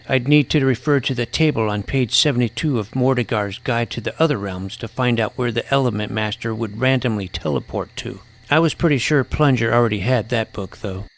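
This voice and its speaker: A man narrating a first-person scripted audio drama as its young protagonist, earnest and precise. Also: medium pitch.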